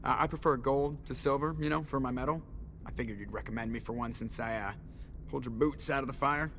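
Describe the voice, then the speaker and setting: A man's voice: mid-range voice, quick pace, a bit bright, a little hiss or hum, natural voice. A man acting a cocky, wisecracking starship pilot in a science fiction video game, casual and boastful. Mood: flippant, teasing.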